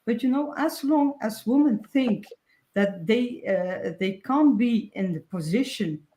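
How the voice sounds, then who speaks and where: A woman on a discussion panel who speaks haltingly, with many fillers. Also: a little high, animated, mellow.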